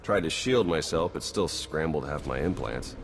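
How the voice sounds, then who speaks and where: An actor playing a battle-worn secret agent in a video game, wry and rueful, speaking in clipped informal phrases. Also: male voice, extremely low pitch, expressive, moderate pace, noisy background. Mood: weary, shaken. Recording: long hall reverb, music behind.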